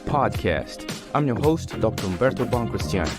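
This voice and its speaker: A man's voice: fairly low pitch, expressive, normal speed, over loud music. A man hosting a livestreamed podcast interview, speaking conversationally to his audience and guest. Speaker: podcast host.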